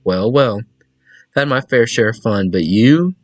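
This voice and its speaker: A man's voice: medium pitch, animated, unhurried, no room sound. A man voicing a flirty, ominous female entity from a visual novel game, coy and teasing. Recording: music behind a dry voice.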